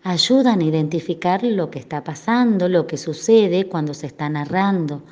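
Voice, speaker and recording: A woman with an Argentine accent teaching in a didactic, expressive way, explaining and addressing her listeners, on slightly dull lo-fi audio. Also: lowish voice, normal speed. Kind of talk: educational explanation with spoken examples.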